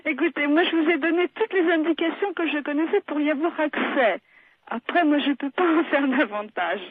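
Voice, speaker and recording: A woman's voice: high voice, normal speed, noisy background. Woman doctor on a tinny phone line. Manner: matter-of-fact, courteous, didactic. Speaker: doctor taking a phone call. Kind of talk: explanatory phone conversation.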